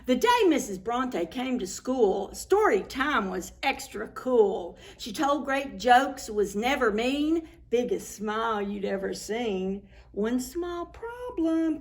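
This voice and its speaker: A woman reading a picture book aloud to preschoolers as a story time host, expressive and full of exclamations. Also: on the high side, takes it slowly. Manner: expressive, exclaiming, talks to young children. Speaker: children's librarian hosting story time.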